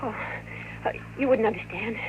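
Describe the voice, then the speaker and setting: Actress as high-voiced earnest heroine, old radio. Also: female voice, normal speed, close to an everyday voice. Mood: anguished pleading. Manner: earnest, dramatic delivery. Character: earnest, conflicted woman agent, secretive and pleading. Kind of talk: 1950s radio melodrama acting. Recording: noisy, muffled 1950s radio recording.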